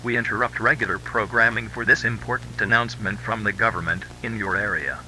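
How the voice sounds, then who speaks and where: Man acting as low-voiced emergency broadcast announcer. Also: fairly even intonation, sharp tone, a little hiss or hum, rough recording. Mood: grave, official. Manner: formal, measured. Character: formal male emergency broadcast announcer warning of monsters.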